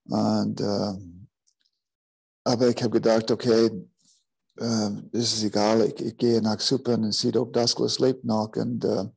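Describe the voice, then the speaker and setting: Gravelly low-voiced man. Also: little pitch movement, a little mellow. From United States. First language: English. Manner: halting, searching for words in a second language. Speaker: teacher of a healing school. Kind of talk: personal storytelling in a talk.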